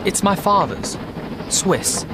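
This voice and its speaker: An actor playing a proud, excitable army lieutenant in a First World War audio drama, formal and clipped. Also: male voice, high-pitched, slow, background noise. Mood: rueful, tempted. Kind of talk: period audio drama acting. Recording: very loud battlefield and vehicle ambience.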